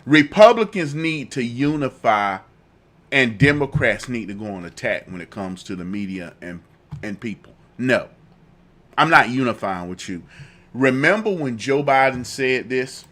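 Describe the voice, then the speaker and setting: A man's voice: medium pitch, lively intonation, unhurried, fairly bright tone. Coughing male political talk host. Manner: repetitive, building to heated indignation. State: fighting a cough. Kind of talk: opinionated political commentary.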